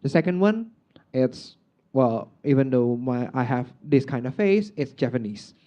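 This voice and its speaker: Chatty Indonesian man with a lively voice. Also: mid-range voice, unhurried. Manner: chatty and self-mocking, with many filler "like"s. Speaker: outgoing club president giving a speech. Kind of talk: informal speech at a club meeting. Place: meeting room, speaking on stage. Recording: dull, muffled lo-fi recording.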